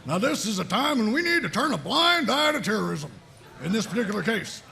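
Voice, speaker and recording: Bossy old army sarge, super creaky and sharp, swings all over, comedy read. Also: male voice, high-pitched, sing-song, a little fast, heavily acted. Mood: smug, scheming. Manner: blustering, emphatic. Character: gruff, bossy, callous old military sergeant. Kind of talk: comedic scripted table read.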